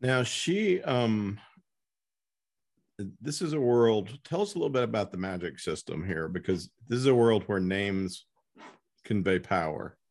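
A man hosting an unscripted literary interview as interviewer, speaking haltingly with pauses mid-sentence. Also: on the low side, animated, darkish tone. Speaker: interviewer and host.

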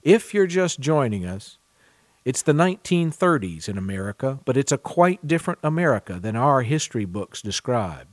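A man's voice: mid-range voice, sing-song, moderate pace, dry recording. A man hosting a book podcast, moving between interview chat and scripted announcements. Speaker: podcast host, publisher.

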